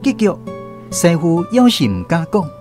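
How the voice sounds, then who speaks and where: Animated Taiwanese storyteller, higher-pitched man. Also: measured pace. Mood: wry recounting. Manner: animated first-person storytelling. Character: grown man fondly recounting his small-boy adventures. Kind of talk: scripted radio drama narration. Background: plucked guitar music.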